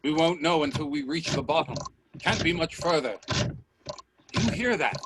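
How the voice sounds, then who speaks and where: A man playing a bold, inquisitive gentleman explorer in a radio play, speaking in deliberate, theatrical statements. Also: a little high, animated. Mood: determined. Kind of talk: radio play dialogue acting. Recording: faint noise on video-call audio.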